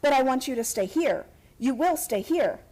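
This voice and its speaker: A high-voiced, impassioned young heiress. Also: female voice, animated, moderate pace, music in the background. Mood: earnest pleading. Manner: earnest, insistent, pleading to commanding. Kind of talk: radio play acting.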